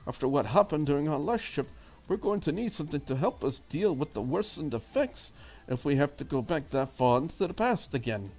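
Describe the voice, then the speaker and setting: A man's voice: fairly high pitch, sing-song, brisk, darkish tone, soft background music. A man voicing a preppy, critical young Englishwoman in a visual novel, prim and wordy, with an English accent. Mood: matter-of-fact.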